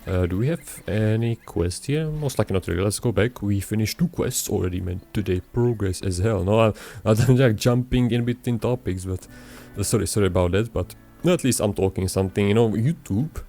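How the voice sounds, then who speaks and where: A young man talks over his gameplay in English that is not his first language, in a somewhat low voice. He wanders between topics, stumbles, and restarts his sentences often. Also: fairly quick, warm tone, faint music behind. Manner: rambling, hesitant, many restarts and fillers. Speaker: gaming YouTuber. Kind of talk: casual gameplay commentary.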